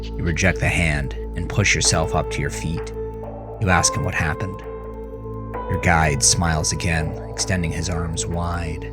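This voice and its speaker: Low male voice, slow deliberate delivery, grave horror narrator, suspenseful, prominent music underneath. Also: level tone. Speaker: author narrating his own horror fiction. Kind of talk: dramatic second-person horror narration.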